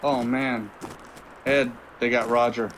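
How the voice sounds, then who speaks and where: A man's voice: medium pitch, level tone, slow pace, background noise, close to an everyday voice. A man playing a casual, caring friend in an audio drama. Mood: dismayed.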